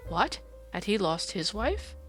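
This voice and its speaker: Audiobook role: haughty aristocratic gentleman, prematurely aged, stately and wordy, animated pitch female narrator acting him out. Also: takes it slowly, faint music behind. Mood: flustered, apologetic. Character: pompous, petulant, foppish aristocratic English gentleman, prematurely aged. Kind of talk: audiobook character dialogue.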